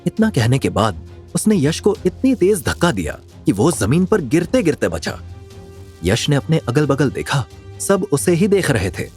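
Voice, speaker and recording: A man's voice: on the high side, big pitch swings, measured pace, a little mellow, faint music behind. A man narrating a scripted dramatic audio story.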